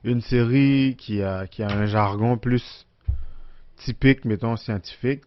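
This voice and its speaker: A man's voice: mid-range voice, measured pace, dull audio. A man with a Québécois French accent, co-hosting a casual podcast, joking and talking back with slang. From Quebec. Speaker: podcast co-host. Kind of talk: casual chat.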